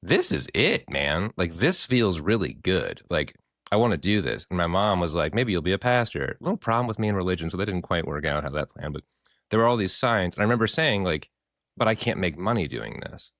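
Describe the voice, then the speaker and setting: Fast-talking deep-voiced hoarse man. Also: no room sound, clean studio sound. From American Midwest. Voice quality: hoarse from screaming. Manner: expressive, rambling, swears freely. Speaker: therapist and podcast co-host. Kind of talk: casual podcast chat.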